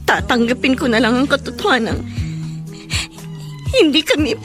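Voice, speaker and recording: A woman's voice: sits high, big pitch swings, normal speed, over music. An actress playing a heartbroken young woman talking to herself in a radio drama. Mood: resigned. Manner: sad, resigned monologue. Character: lovelorn young woman giving up on her lost love.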